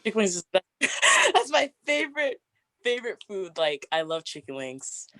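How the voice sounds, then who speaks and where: Young woman, 20s, deep raspy voice, chatty guest, dry close sound. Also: huge pitch swings, moderate pace, pushed and bright, no room sound. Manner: chatty, frequent "like" fillers, laughs often. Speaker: interview guest. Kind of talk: casual get-to-know-me interview.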